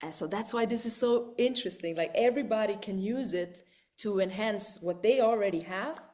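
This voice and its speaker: A woman who is a vocal coach, presenting a live online webinar in a lively way. She repeats words and keeps checking in with listeners. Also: medium pitch, normal speed.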